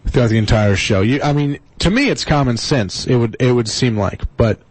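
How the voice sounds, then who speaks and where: A man's voice: medium pitch, brisk, no echo, low-quality recording. A man hosting a live call-in radio show in a folksy, exasperated way, often repeating himself.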